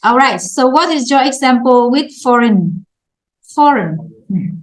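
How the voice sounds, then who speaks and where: Vietnamese-accented woman teaching english over a video call, slow and patient, kinda echoey room. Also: sits high, animated. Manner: patient, prompting, laughs often. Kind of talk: online English lesson.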